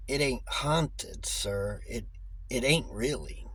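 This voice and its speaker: A man's voice: medium pitch, a bit bright, breathy edge. A man voicing a talkative old shopkeeper in an audiobook, with folksy, ungrammatical speech that stumbles and repeats itself. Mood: anxious. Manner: folksy, ungrammatical, halting repetitions. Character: blustery old rustic male shopkeeper, talkative and eager to sell. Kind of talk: audiobook character voice.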